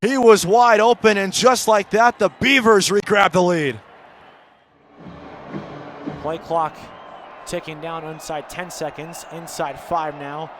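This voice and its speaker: A man's voice: sits high, fairly even intonation, takes it slowly, pauses often, fairly bright tone. An American man calling college football play-by-play live on the radio from a stadium. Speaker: play-by-play radio sports commentator. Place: football stadium broadcast position. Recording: outdoor stadium background noise.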